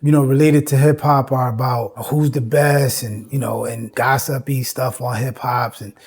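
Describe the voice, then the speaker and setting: A man's voice: medium pitch, steady tone, normal speed, darkish tone, husky. A man giving an informal, explanatory lecture as host, emphatic and repetitive, often checking in with "right?". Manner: emphatic, repeats phrases, frequent "you know" and "right?".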